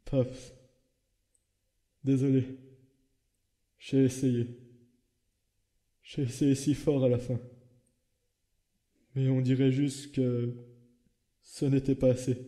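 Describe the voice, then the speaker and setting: A man voicing a weary, guilt-ridden skeleton hero in a comic dub, speaking in a subdued, halting way. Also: mid-range voice, little pitch movement, dark tone, lightly acted. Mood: sorrowful, guilty. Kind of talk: dramatic comic dub acting.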